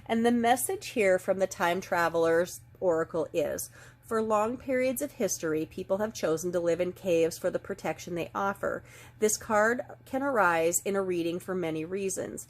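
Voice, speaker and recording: Woman, middle-aged, slightly nasal from a stuffed-up nose, gentle and earnest, card reader. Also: fairly low pitch, moderate pace. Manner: gentle, earnest, reads passages aloud. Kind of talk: casual spiritual card reading.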